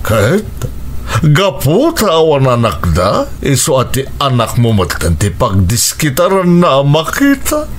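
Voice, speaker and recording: A man's voice: mid-range voice, wildly swooping pitch, measured pace, slight background noise. A man with an Ilocano accent acting an earnest, emotional male figure in a radio family drama. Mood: concerned. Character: men in family melodrama: pleading ex-lover, concerned uncle.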